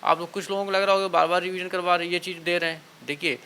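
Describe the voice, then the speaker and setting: A man coaches exam students in an informal, instructive way. His voice sits a little high and has a sharp, bright edge. He keeps urging listeners on and checking they follow, with faint noise behind him. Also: flat delivery, fast talker. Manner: instructive, urging, often adds check-in tags. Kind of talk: informal motivational exam-prep talk to students.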